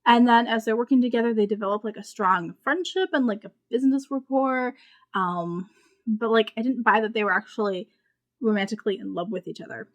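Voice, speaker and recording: A woman's voice: fairly high pitch, fairly quick. A woman reviewing books in a casual, informal way, thinking aloud in loose, rambling sentences. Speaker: book reviewer. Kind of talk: casual spoken book review.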